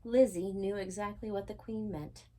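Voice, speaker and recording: A woman's voice: mid-range voice, fairly even intonation, normal speed, dark tone. A woman reading a children's storybook aloud expressively, with chatty asides to the listener. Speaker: storytime reader.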